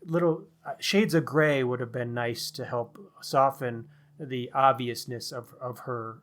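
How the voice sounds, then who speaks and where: A middle-aged man talks as a podcast co-host in a casual book discussion. His pitch sits in a middle range for a man. He is opinionated and analytical, spinning out long, wordy thoughts. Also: a little slow, fairly bright tone.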